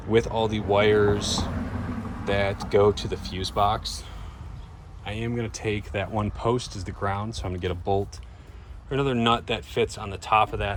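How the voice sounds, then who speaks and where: A man with a fairly low voice talks informally to his viewers in the style of a DIY car vlog. He thinks aloud as he works, keeping it casual. There is some light noise behind him. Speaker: DIY car vlogger and host. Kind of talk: casual hands-on narration to viewers while working. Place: in and around a van.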